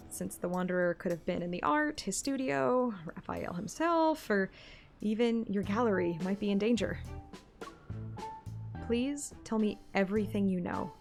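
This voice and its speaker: A woman's voice: mid-range voice, gravelly. A woman reading a romantic fantasy story aloud as a narrator in an informal podcast. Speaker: fan audiobook narrator and podcast host. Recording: music playing behind the voice.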